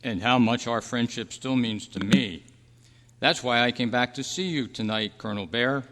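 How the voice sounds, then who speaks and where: A man acting in a staged radio drama, with earnest, measured storytelling. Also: mid-range voice, fairly bright tone, close to an everyday voice. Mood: wistful, earnest. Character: Roy, dead thirty-three-year-old man's wistful, peaceful spirit. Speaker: actor and announcer. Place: live club meeting in a hall. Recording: echoey hall with long reverb.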